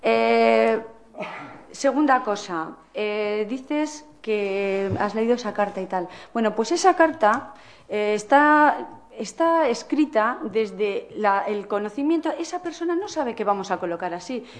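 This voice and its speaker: A woman chairing a town council meeting, closing a heated debate with measured, hesitant statements full of "eh". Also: fairly high pitch, expressive. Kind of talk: formal meeting remarks.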